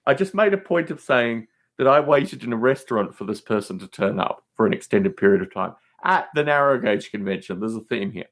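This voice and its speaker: A man's voice: medium pitch, lively intonation, fairly quick, hardly any pauses, a bit bright. Emphatic middle-aged man chatting. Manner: emphatic, repetitive, rambling storyteller. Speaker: podcast host appearing as guest. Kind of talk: casual chat.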